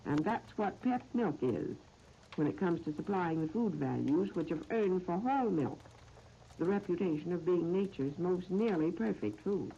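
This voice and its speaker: An American woman presenting a scripted 1930s radio cooking demonstration in a measured, instructional, carefully phrased manner. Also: fairly low pitch, expressive, creaky, warm tone. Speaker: cooking show host. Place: radio studio. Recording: dull, noisy 1930s radio disc recording.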